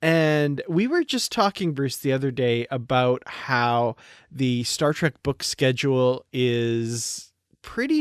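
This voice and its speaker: A man's voice: medium pitch, no echo, studio quality. A man hosting a podcast in a casual, conversational style with an enthusiastic manner. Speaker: podcast host.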